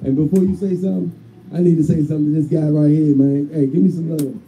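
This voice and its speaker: A man hosting a barber battle, loud and teasing, speaking on a handheld mic in a crowded barbershop. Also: on the high side, steady tone, fast talker, slight background noise, poor audio. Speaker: event host. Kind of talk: hyping up a live crowd. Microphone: handheld microphone.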